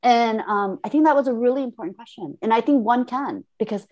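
A woman born in Korea, speaking in a reflective conversation with thoughtful, halting phrasing and frequent restarts. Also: a little high, a little mellow, lo-fi sound.

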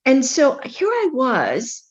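A middle-aged woman from Kenya, a medical doctor telling her story in a casual interview, animated, often repeating words with playful asides. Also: high-pitched, slow pace, dull audio.

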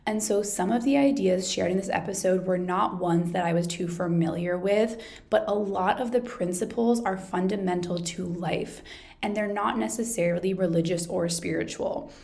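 A woman hosts a relationship podcast in a casual chat style. Her voice is lowish with a noticeable gravelly fry. She sounds upbeat and friendly with her guests. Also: normal speed, a little mellow. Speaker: podcast host.

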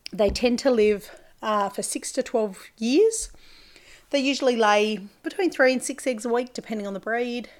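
An Australian woman hosting her farming podcast, chatting casually in a hoarse, gravelly voice, rambling a little with lots of fillers. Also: on the high side, normal speed. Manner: chatty and rambling, with many fillers and self-corrections. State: hoarse, gravelly from a chest infection. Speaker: podcast host and farmer. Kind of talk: casual solo podcast chat.

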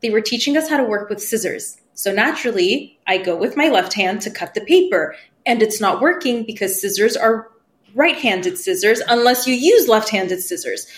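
A woman's voice: a little high, animated, moderate pace. A woman whose was Farsi, telling personal stories as a podcast guest and acting out the voices she quotes, laughing easily. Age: 30s. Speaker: podcast guest, spiritual medium. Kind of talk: casual interview storytelling.

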